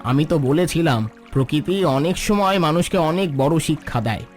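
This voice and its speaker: A poor, forgiving villager in a cartoon drama, played by a man. The voice is on the higher side for a man and speaks with respectful, philosophical consolation over background music. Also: expressive, moderate pace, lightly acted. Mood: warm, grateful. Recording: music bed under the voice.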